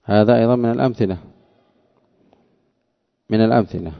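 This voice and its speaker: Dull lo-fi recording, male lecturer, creaky voice, didactic, repeats key phrases, explains a classical text step by step. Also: lowish voice, a little fast. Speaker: teacher of Arabic grammar. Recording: dull lo-fi sound.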